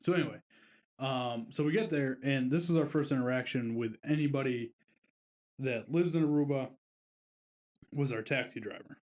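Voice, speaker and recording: A man's voice: mid-range voice, normal speed, long pauses, a little mellow. A man co-hosting a casual podcast, telling a long, rambling vacation story with plenty of fillers. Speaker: podcast co-host.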